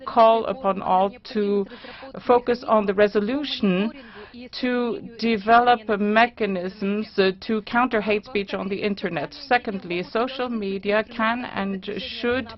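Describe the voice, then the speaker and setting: A woman interprets a formal speech into English as it is given. She speaks at a middle pitch and keeps a measured pace, with a few fillers and restarts. The sound is dull and lo-fi. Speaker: simultaneous interpreter. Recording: dull lo-fi conference recording.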